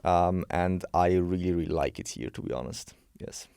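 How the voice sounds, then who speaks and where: A man's voice: deep voice, normal speed, creaky, darkish tone, clean tone, no echo, studio quality. A man, a coach giving frank, opinionated answers in a casual interview, thinking aloud as he goes. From Switzerland. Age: 20s. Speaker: guest, bodybuilding and health coach.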